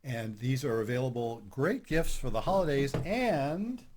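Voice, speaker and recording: A man's voice: mid-range voice, unhurried, background noise. Chatty older man hosting a podcast. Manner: relaxed, chatty, self-deprecating humor. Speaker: podcast host, couples therapist. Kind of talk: casual podcast chat.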